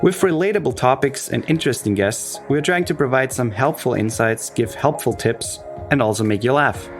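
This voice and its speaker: A man from Austria, co-host of a podcast, reading a scripted promo in an earnest, measured way. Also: mid-range voice. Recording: music bed under the voice.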